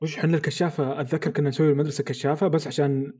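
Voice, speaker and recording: A man's voice: a little high, little pitch movement, darkish tone, slightly rough. A young man co-hosting a podcast, joking and teasing in casual chat. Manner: joking, fast-talking, teasing. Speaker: podcast co-host.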